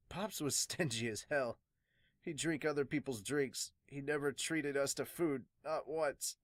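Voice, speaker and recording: A man playing a weary, solemn pirate doctor in a fan manga dub, subdued, measured and earnest. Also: medium pitch, dry recording, close to an everyday voice. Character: weary, solemn veteran pirate doctor recounting his late captain.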